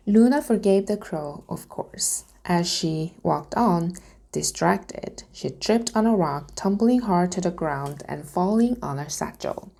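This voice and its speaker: A woman reading a storybook aloud as narration, in a careful, deliberate manner. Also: on the low side, lively intonation, gravelly, dark tone. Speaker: reader.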